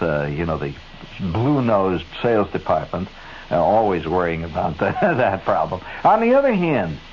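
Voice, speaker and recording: A man's voice: deep voice, dull audio. A middle-aged American man hosting a radio show, telling a wry, digressive monologue and tossing asides to the studio crew. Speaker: radio host. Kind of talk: rambling late-night radio monologue. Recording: hissy 1970s AM radio broadcast.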